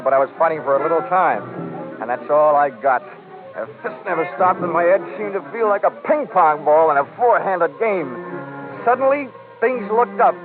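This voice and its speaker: An actor playing a wry, tough, deadpan male insurance investigator in a vintage radio detective drama. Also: fairly high pitch, lightly acted. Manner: deadpan, sardonic. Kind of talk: 1940s radio detective drama acting with narration. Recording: muffled vintage radio recording, music underneath.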